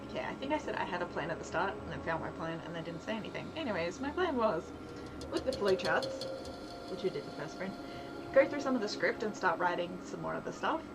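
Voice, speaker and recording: A woman's voice: lowish voice, lively intonation, fast talker, loud music behind the voice. A woman chatting casually on a livestream, thinking aloud as she works and often trailing off mid-thought. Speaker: streamer, visual novel developer. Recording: clearly noisy livestream audio.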